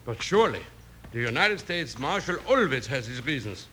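An actor playing an anxious, polite settler in a Western radio drama. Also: male voice, medium pitch, normal speed. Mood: apologetic, polite. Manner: nervous, deferential, excitable. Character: anxious, polite immigrant settler guarding his family. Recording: noisy old radio recording.

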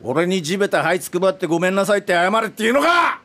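An actor playing a stubborn, hot-tempered elderly film director in a radio drama, talking in coarse, blustering language. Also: male voice, high voice, lively intonation, racing, bright, forceful tone. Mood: defiant, resigned. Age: older. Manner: coarse, blustering rough speech.

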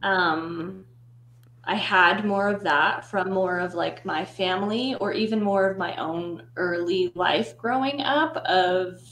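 A young woman speaks reflectively as a guest in a casual chat, her phrasing loose and full of fillers. Her voice sits on the low side, and the room adds a clear echo along with a faint hum. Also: slow, a bit bright. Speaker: guest, content creator.

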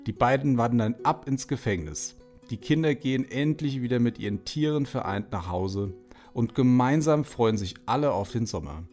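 A man's voice: medium pitch, expressive, normal speed. A man narrating a children's audio drama in a scripted storytelling style. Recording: guitar music under the voice. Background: soft plucked guitar music.

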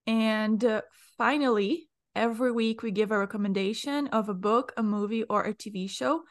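A woman's voice: a little high, moderate pace. A woman hosting a podcast interview, asking friendly, curious questions while she has a cold. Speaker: podcast host. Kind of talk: casual interview.

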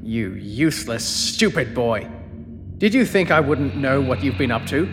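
A man's voice: medium pitch, very animated, a little slow. A man playing a menacing, condescending, controlling older brother who taunts, in an audio fiction drama. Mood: contemptuous. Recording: long echoing hall reverb, music behind. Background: dramatic music.

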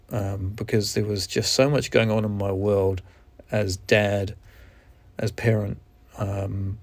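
Gravelly New Zealand man, reflective podcast host. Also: on the low side, a little mellow, no room sound. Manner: reflective, halting, with frequent restarts. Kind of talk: candid solo podcast monologue.

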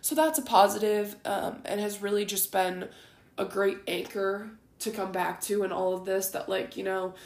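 A woman in her twenties hosting a podcast, rambling candidly in a raspy, groggy voice with plenty of ums. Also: mid-range voice, moderate pace, a little mellow, reverberant. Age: 20s. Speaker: podcast host. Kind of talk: casual solo ramble.

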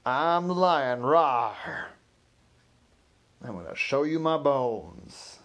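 A man voicing a goofy costumed lion-telegram performer who booms slowly and roars. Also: animated, fairly bright tone. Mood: booming, theatrical. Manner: booming, drawn-out, growling "rawr".

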